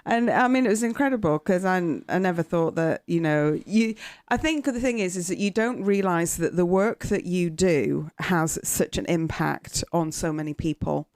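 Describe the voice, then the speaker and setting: A woman from Britain in her fifties talks in a loud, chatty way, full of ums and laughs. Her voice sits a little low and sounds smooth and clear. The sound is dry and close, with no room around it. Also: normal speed, few pauses, no echo. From United Kingdom. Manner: chatty, warm storytelling with frequent fillers and laughs. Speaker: guest. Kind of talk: casual podcast interview.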